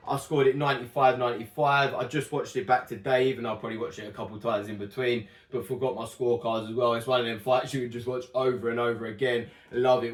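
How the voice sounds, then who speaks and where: A young man chatting casually and rambling through a fight prediction, correcting himself often, his voice a little worn. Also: medium pitch. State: slightly losing his voice. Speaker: boxing channel host. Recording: echoey room.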